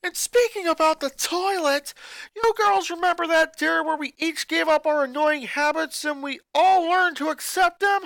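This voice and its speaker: A man's voice: very high voice, moderate pace, gravelly, dry recording, exaggerated voice, rough recording. A man voicing an angry eleven-year-old boy who rants and accuses his sisters in a fanfic reading. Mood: furious. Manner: ranting, accusing, voice rising to shouts.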